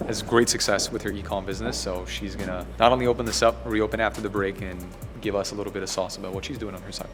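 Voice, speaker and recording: A man with a hoarse, recovering voice, talking casually to camera as he gets ready to host a workshop, swearing and keeping his voice soft. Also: fairly low pitch, steady tone, fast talker, few pauses, over music. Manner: casual, swears, talks softly to spare his voice. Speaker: ads agency owner hosting a workshop. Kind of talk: casual vlog talk to camera. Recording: echoey room.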